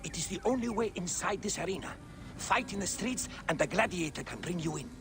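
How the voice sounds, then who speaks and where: An actor playing a helpful, deferential arena attendant in a video game cutscene. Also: male voice, sits high, expressive, brisk, over music. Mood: deferential, urging.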